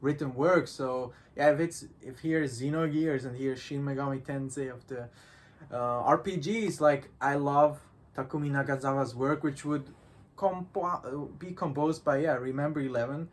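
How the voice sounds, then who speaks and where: A man's voice: medium pitch, fairly even intonation, moderate pace. A man in a casual discussion, talking in a hesitant, rambling way with non-native English phrasing. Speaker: visual novel reviewer in a discussion. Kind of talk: casual chat.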